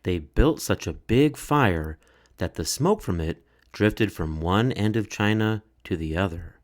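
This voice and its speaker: A man reading a children's story aloud in a measured, expressive way. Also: fairly low pitch. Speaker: storybook narrator.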